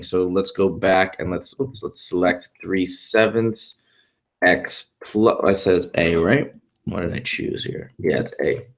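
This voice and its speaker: Hoarse upbeat male math tutor. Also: lowish voice. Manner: upbeat, chatty, thinks aloud. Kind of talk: live-streamed test walkthrough. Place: indoors at a whiteboard and screen share.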